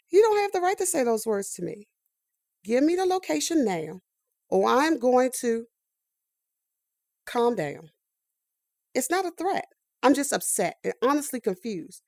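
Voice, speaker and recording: An indignant, driven woman in a sci-fi audio drama. Her high voice swings widely as she makes clipped, insistent demands, with some creak at the edges. She is easily exasperated. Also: darkish tone, no room sound, studio quality.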